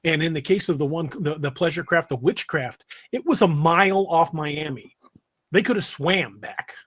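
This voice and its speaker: A man with a husky voice chatting casually as a podcast host in a panel discussion. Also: on the high side, normal speed, a bit bright.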